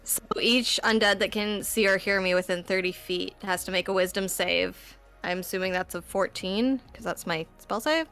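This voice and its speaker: A woman with a bright, very clear voice explains game moves and rules as a tabletop player. Soft music sits behind her. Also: mid-range voice, normal speed, few pauses. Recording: music under the voice.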